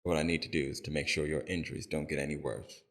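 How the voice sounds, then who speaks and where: Man acting out a very deep, gravelly, courteous villain. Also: level tone. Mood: gentle, amused. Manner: civil, measured, softly probing. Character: calm, courteous villain leader interrogating a captive. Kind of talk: scripted audio roleplay.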